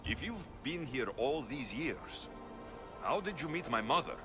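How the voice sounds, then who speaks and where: A man's voice: medium pitch, lively intonation, unhurried, lightly acted. An actor playing a proud, wary young male warrior in a video game, blunt, intense and questioning. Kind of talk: video game cutscene acting. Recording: music behind the voice.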